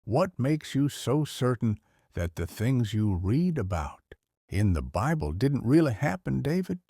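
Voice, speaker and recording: An actor playing a kindly old grandfather who tells Bible stories in an unhurried, expressive, theatrical way. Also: medium pitch, slightly rough, no room sound, clean studio sound, exaggerated voice. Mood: gentle. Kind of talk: dramatized audio story narration.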